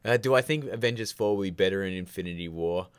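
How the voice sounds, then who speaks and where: A man's voice: low-pitched, quick pace, clean tone, dry recording. A man hosting a live stream, chatting casually and rambling through questions with candid opinions and jokey asides. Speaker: livestream host of a filmmaking show.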